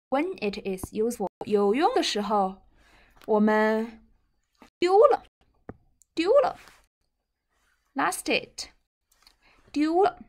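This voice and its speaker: A young woman with a Chinese accent leads an online Mandarin vocabulary lesson. Her voice sits fairly high and she speaks extremely slowly. She repeats words and short phrases deliberately, giving them clear emphasis. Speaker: Mandarin language teacher. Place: indoors, seated at a computer.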